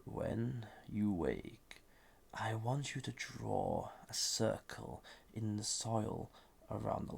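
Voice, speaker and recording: Suave male villain, husky and breathy, swooping intonation, unhurried, flirty, dangerous, condescending, roleplay audio. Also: heavily acted. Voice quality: deep, gravelly, husky, breathy. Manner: slow, deliberate, softly condescending, formal. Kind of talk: scripted roleplay audio drama.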